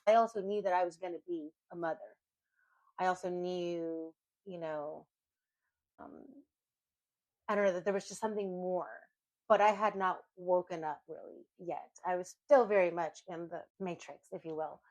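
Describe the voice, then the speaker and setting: A woman's voice: fairly low pitch, no room sound, dull audio. A woman in her thirties from Venezuela, co-hosting a podcast and talking in an earnest, reflective way with many fillers. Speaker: podcast co-host. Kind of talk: casual heartfelt chat.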